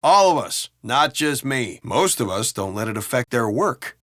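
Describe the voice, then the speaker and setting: A man's voice: mid-range voice, very animated, unhurried, fairly bright tone, no echo, studio quality. A man playing a brash, griping American city cop in video game banter, talking in a colloquial, wry and profane way. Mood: dry, griping. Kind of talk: casual in-game banter dialogue.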